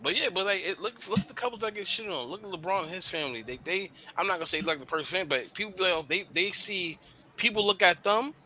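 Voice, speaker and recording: Bright-voiced man chatting loosely. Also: high voice, fast talker, a little hiss or hum. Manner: animated, swearing, slangy. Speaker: podcast co-host. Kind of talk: casual chat.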